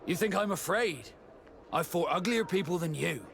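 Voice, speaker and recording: A man's voice: high voice, expressive, normal speed, vocal fry, fairly bright tone, a little hiss or hum, full character voice. A man playing a proud, blunt, tough sea captain in a video game. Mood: defiant.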